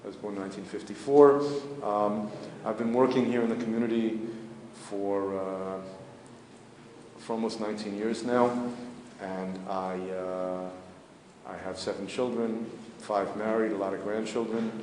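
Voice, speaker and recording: A low-voiced man in his fifties answers reporters' questions as a community spokesman. He talks in a measured, matter-of-fact way, often pausing to find his words. The recording has a long, echoey hall reverb with faint ambient noise behind him. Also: fairly even intonation, a little mellow. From United States. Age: 50s. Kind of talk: press interview answers. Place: indoor hall.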